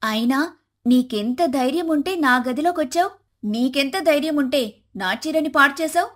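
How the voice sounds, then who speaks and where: A woman storyteller plays a young wife and elder sister in a cartoon family drama. Her voice sits high, and she carries a wronged, vengeful temper. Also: expressive, normal speed. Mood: angry, accusing.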